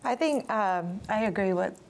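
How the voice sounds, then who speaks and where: A woman's voice: medium pitch, animated, measured pace, gravelly. A woman in her thirties whose first language is Gujarati, speaking on a panel in a studio with a lapel mic, reflective and hesitant with many fillers. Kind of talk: panel discussion. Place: studio stage with audience.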